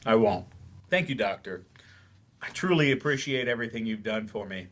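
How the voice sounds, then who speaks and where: A man's voice: fairly low pitch, expressive, normal speed, a bit bright. Man acting an earnest, wry English teacher. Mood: earnest, subdued. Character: earnest, wry male writer turned remedial English teacher. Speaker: actor in a staged play reading. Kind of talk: stage play reading. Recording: live staged reading in a library.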